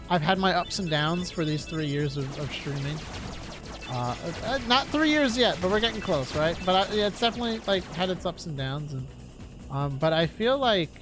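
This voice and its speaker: Congested young man, rambling and halting. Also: on the high side. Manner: halting, filler-heavy, reflective. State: congested nose. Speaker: streamer. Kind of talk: casual rambling stream chat. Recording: game music loud behind voice. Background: game music.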